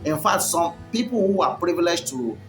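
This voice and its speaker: A man's voice: sits high, lively intonation, moderate pace, fairly bright tone. Rambling man lecturing over music. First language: Yoruba. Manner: preachy, rambling, with frequent fillers and restarts. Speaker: commentator. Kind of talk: unscripted monologue to camera.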